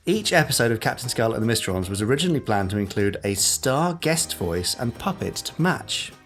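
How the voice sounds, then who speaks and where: A man's voice: mid-range voice, sing-song, brisk, a bit bright, soft background music. A British man co-hosting a podcast in a casual, conversational style. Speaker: podcast co-host.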